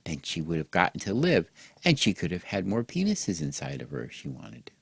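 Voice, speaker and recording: A man hosting and telling a mock children's bedtime story in an exaggerated gentle, sing-song way, as if speaking to small children. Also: low voice, huge pitch swings, brisk, gravelly, husky. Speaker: host and storyteller.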